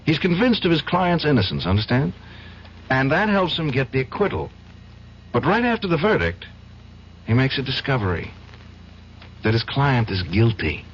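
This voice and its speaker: An actor playing a troubled, indignant younger defense lawyer in an old-time radio drama, speaking in a halting, intense, agitated way. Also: male voice, medium pitch, very animated, lightly acted. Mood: uneasy. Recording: dull, faintly noisy old radio recording.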